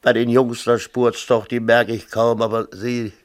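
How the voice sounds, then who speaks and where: An actor playing a defensive, self-pitying middle-aged small-town mayor and father in a radio play, talking in a halting, colloquial way and repeating himself. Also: mid-range voice, level tone, lightly acted. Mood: resigned. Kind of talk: 1970s German radio play acting.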